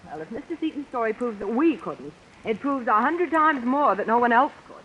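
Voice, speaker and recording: A woman's voice: on the high side, animated, brisk, close to an everyday voice. A woman playing a self-possessed young lady under suspicion in an old radio drama, frank and firm. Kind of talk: old-time radio suspense acting. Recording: muffled vintage radio, faint rain noise.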